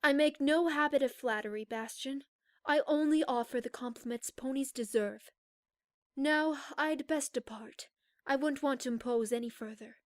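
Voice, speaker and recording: Casting: woman to play stately alicorn princess for audio story, high-pitched, measured, formal and serious, recorded very dry. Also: no echo, lightly acted. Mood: composed, serious. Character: regal, grave adult female alicorn pony princess. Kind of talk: scripted audio drama acting.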